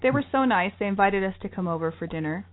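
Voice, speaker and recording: A woman's voice: mid-range voice, lively intonation, quick pace. Bubbly chatty woman, tinny line. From lived in New York City. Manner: bubbly, chatty, laughs often. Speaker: travel show co-host. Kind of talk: casual chat.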